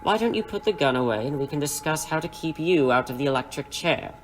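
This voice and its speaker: A man playing a menacing crime boss in an audio drama, with a mockingly polite, formal, unhurried manner. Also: a little high, expressive, soft background music, close to an everyday voice, poor audio. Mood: coolly bargaining. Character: suave, menacing male crime boss interrogating captives.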